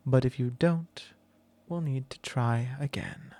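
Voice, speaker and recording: A man playing a whispering possessive vampire lord. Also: mellow. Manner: slow, low and intimate, with frequent whispers. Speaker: ASMR roleplay performer. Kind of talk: ASMR roleplay.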